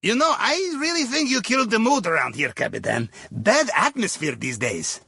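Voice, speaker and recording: An actor playing a wry, chatty Russian heist planner in a video game, speaking accented broken English in a droll, folksy way. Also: male voice, high-pitched, very animated, moderate pace, gravelly, sharp tone, husky, dry recording, full character voice. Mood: wry amusement. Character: wry, jovial Russian submarine captain's heist planner, male. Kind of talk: video game mission dialogue over radio.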